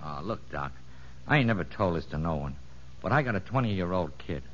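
An actor playing a cocky, streetwise convict safecracker in a 1950s radio drama, talking in slangy, ungrammatical boasts. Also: male voice, deep voice, lively intonation, fairly quick. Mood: wistful, pleading. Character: cocky wisecracking convict safecracker with a soft spot. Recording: noisy, muffled old radio broadcast.

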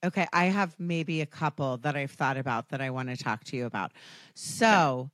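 Hoarse low-voiced American woman host. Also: normal speed, a bit bright, no room sound. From Washington, D.C. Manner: chatty, self-deprecating, frequent ums. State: hoarse, raspy voice. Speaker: podcast host. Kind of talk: casual chat.

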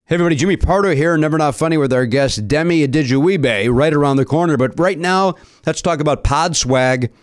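A middle-aged man with a raspy voice hosting a comedy podcast, talking loudly with quick, self-mocking jokes into a studio mic. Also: on the high side, no room sound. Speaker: podcast host. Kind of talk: casual comedy podcast chat. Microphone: studio mic with headphones.

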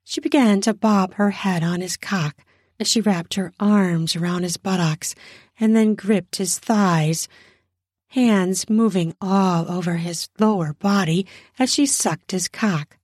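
A woman's voice: medium pitch, slow, darkish tone, husky, no room sound, clean studio sound. A woman narrating an adult story in a lingering, emphatic way. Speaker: narrator of an erotic audio story. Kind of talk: erotic story narration with acted dialogue.

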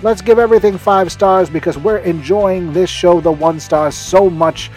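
A man with a slightly high voice hosts a hype-filled podcast promo. He is an emphatic, excitable pitchman who hammers on repeated phrases. Light music plays behind him. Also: normal speed, poor audio. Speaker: podcast host.

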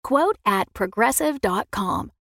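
A woman's voice: medium pitch, expressive, takes it slowly, vocal fry, a bit bright, dry recording, studio quality. A woman reading a scripted advertisement as an announcer.

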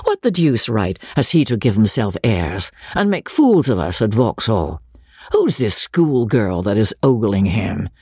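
A man's voice: lowish voice, very animated, a little slow, darkish tone, husky, no room sound, clean studio sound, heavily acted. A man voicing a young army officer who is arrogant and mocking, talking in laughing banter. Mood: scornful.

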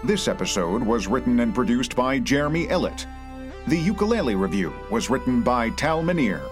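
A man's voice: mid-range voice, very animated, moderate pace, over music. A man reading scripted podcast credits and announcements as the announcer.

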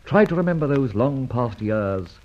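An actor playing a breezy, teasing gentleman adventurer in a mid-century radio drama, with flippant, theatrical banter and old-fashioned British slang. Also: medium pitch, lively intonation, normal speed, close to an everyday voice. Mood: teasing, jaunty. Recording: dull, muffled old radio recording.

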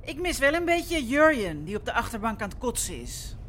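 An actress playing a wry, teasing adult daughter in an audio drama, with a sardonic way of talking. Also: female voice, a little high, sing-song, fairly quick, a bit bright, slight background noise.

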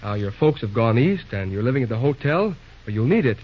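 An actor playing a brash, plain-spoken young railroad superintendent in an old-time radio drama, blunt, slangy and prone to stammer. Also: male voice, mid-range voice, sing-song, brisk, dull audio. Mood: firm, earnest.

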